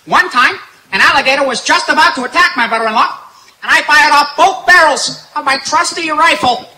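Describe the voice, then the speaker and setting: A brash, dim-witted comic sidekick, played by a woman, high and piercingly bright, trading wisecracks in old-time radio style. The live stage recording is echoey with some background noise. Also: a little fast. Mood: cocky, joking. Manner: loud, punchline delivery. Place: outdoor night stage in the woods.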